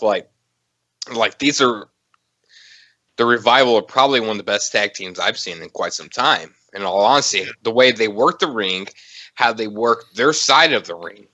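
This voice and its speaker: Bright-voiced man podcasting about wrestling. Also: on the low side, no echo. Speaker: podcast co-host. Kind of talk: casual wrestling discussion.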